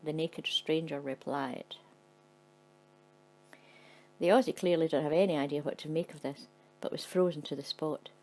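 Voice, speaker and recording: Woman storyteller: dry, matter-of-fact narration, lowish gravelly voice. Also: steady tone, normal speed, pauses often, a little mellow, soft background music, poor audio. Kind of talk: scripted narration.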